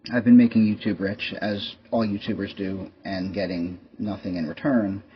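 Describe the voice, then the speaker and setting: A man's voice: on the low side, steady tone, normal speed. A man hosting a casual show-and-tell vlog, talking in a rambling, wry, resigned way. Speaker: YouTube host. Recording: muffled lo-fi mic with clear background noise.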